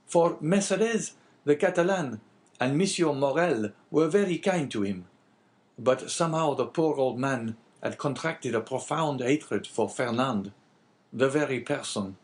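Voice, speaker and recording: A man's voice: mid-range voice, lively intonation, unhurried, pitched above the performer's own voice, close to an everyday voice. A man voicing a bitter, wavering, talkative innkeeper in an audiobook, with a plaintive and emphatic manner.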